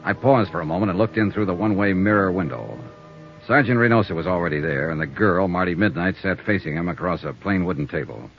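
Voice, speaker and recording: A man's voice: deep voice, fast talker. An actor playing a terse, hard-boiled insurance investigator who narrates his case in an old radio detective show. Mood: narrating. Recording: dull old radio broadcast with faint music.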